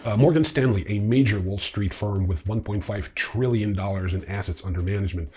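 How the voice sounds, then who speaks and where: A man's voice: on the low side, fairly quick, a little mellow, soft background music. A man hosting a newsreel, reading scripted news hesitantly and adding casual, blunt, irreverent opinions. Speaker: newsreel host.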